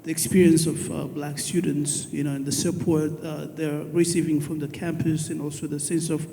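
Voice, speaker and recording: A man from Ethiopia introducing himself on a conference panel, pausing often with fillers. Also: a little high, level tone, gravelly, mellow. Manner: hesitant, many "uh" fillers. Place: conference hall. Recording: long reverb of a hall.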